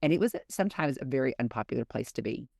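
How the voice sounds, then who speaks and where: A woman's voice: very deep voice, animated, fast, vocal fry, darkish tone, dry recording, studio quality. A woman and mother co-hosting a podcast in casual chat, frank and blunt, laughing easily. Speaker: podcast co-host.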